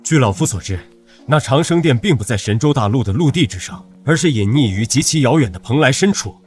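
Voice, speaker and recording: A man voicing a dignified old cultivator elder with formal, archaic speech in an animated fantasy drama. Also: mid-range voice, brisk, faint music behind, close to an everyday voice. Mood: grave, cautioning. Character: dignified, awed old cultivator father-in-law, centuries old. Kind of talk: animated xianxia drama dubbing.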